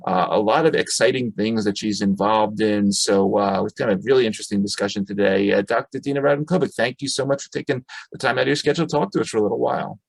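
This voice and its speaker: A male podcast host with a fairly low voice talks very fast in a dry, close sound. He is effusive and wordy as he chats casually with a guest. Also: creaky, no room sound, poor audio. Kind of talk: casual interview introduction.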